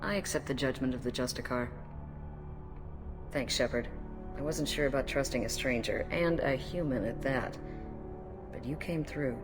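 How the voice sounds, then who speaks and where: Game role: weary alien cop, no-nonsense and plain-spoken, music clearly underneath. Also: female voice, a bass voice, moderate pace, pauses often. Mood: official, cautious. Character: businesslike, world-weary alien police detective, gruffly grateful. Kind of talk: video game voice acting.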